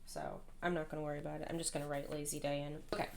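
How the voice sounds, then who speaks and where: A woman in her thirties with a hoarse voice, chatting casually and rambling while she plans. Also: deep voice, flat delivery, very fast, background noise. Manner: chatty, rambling, self-deprecating. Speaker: planner vlogger. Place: at home, indoors.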